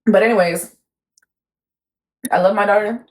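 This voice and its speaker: A young woman from Puerto Rico co-hosting a casual chat, telling stories chattily in quick bursts and swearing casually. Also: medium pitch, steady tone. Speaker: podcast co-host.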